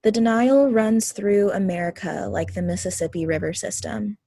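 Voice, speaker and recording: Woman with a gravelly voice reading aloud. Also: medium pitch, normal speed, mellow. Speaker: reader at a group reading.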